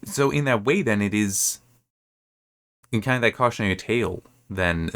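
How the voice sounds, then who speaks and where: Male, lower-pitched voice, informal book commentator who thinks out loud and wanders. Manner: rambling, thinking aloud. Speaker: host reviewing assigned reading.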